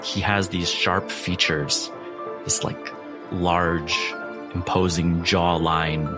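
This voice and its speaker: Deep-voiced man narrating as game master. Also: flat delivery, takes it slowly, over music. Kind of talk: scene narration for a tabletop roleplaying game.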